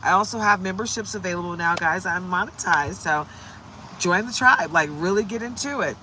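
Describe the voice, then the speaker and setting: Hoarse woman, tarot reader, chatty and upbeat, clear background noise. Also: lowish voice, normal speed, pushed and bright, rough recording. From United States. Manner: chatty and upbeat, greeting viewers by name. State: hoarse from shouting. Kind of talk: casual livestream chat.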